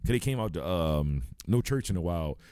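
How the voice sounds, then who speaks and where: A man's voice: low-pitched, animated, a little fast, dark tone, clear, resonant tone, no room sound, clean studio sound. A man, co-hosting a casual podcast, telling stories animatedly and acting out crowd voices. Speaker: podcast co-host. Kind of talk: casual chat, storytelling.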